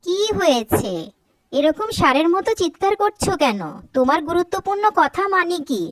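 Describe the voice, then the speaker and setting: A woman voicing a scheming, sharp-tongued middle-aged mother in a cartoon, with an emphatic, scolding way of talking. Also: extremely high pitch, expressive, normal speed, dry recording, low-quality recording. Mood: annoyed. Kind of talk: Bengali cartoon voice acting.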